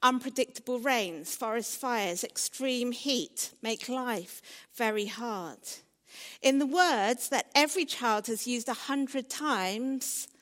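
Female preacher, measured and earnest delivery, unhurried pace, long hall reverb. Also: on the high side, fairly bright tone. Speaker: preacher addressing a church. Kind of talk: sermon.

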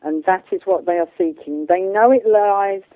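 A woman speaks over a narrow, phone-like connection with very little room sound. Her pitch sits in the middle range. She explains her beliefs as an interview guest, informally and in loose, wandering sentences. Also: normal speed, dry recording. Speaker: guest medium and channeller.

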